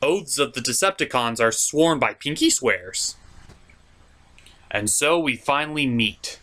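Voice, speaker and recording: A man's voice: mid-range voice, expressive, measured pace, pushed and bright. A man hosting a cartoon review show, delivering scripted comedic narration in a theatrical, jokey, emphatic way.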